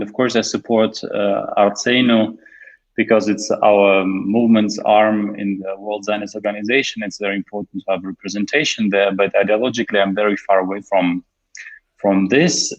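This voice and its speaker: Man on a panel call, lowish voice, kinda muffled lo-fi audio, lots of uhs. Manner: measured, with frequent uhs. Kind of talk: online panel discussion. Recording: dull lo-fi audio.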